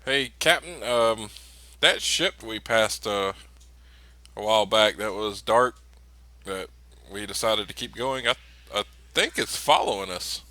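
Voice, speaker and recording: A man with a lowish, sharp-edged voice plays in a tabletop role-playing game. He talks casually, often hesitating as he goes. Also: no echo. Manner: halting, hesitant. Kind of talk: casual in-character tabletop play.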